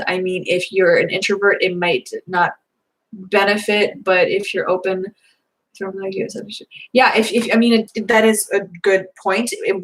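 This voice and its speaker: A young woman in her twenties chatting casually through viewer questions, rambling with lots of fillers, her voice slightly hoarse. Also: medium pitch, fairly bright tone, rough recording. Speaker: vlogger answering viewer questions. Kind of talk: casual live Q&A chat.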